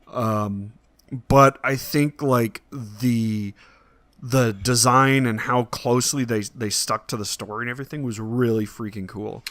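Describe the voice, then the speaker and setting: A man co-hosting a casual podcast about films and games, giving measured opinions somewhat hesitantly. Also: medium pitch, fairly even intonation, fairly bright tone, no room sound. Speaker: podcast co-host.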